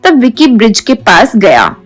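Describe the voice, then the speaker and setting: A woman's voice: a little high, huge pitch swings, normal speed, soft background music. A woman reading a scripted children's story as its narrator.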